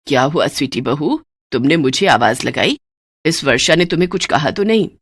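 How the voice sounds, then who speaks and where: A woman's voice: deep voice, lively intonation, normal speed, background noise, pitched below the performer's own voice. A woman voicing an elderly, fretful mother-in-law with a plaintive way of talking in a storybook drama. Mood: puzzled.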